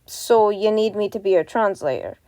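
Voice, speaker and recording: A woman's voice: medium pitch, expressive, moderate pace, dark tone, no room sound, lightly acted. A woman voicing a helpful, casual male elf king in a fan fiction audio reading. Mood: agreeable. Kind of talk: amateur podfic character reading.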